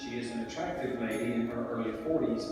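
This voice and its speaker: A man narrates stage directions for a script reading in a medium-pitched voice. He sounds far from the mic in a long, echoing hall, with music clearly audible. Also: quick pace. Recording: very echoey hall from afar, music.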